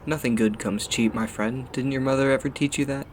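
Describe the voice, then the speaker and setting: A man's voice: mid-range voice, fairly even intonation, fairly quick, slight background noise, close to an everyday voice. A man playing an old street flower seller in a radio play, with folksy, familiar salesman patter. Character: chatty old male street flower vendor.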